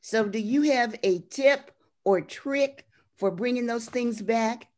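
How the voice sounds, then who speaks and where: A woman's voice: a little high, slow pace, darkish tone. A woman in the audience asks a question in an earnest way. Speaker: audience member. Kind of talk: asking a question.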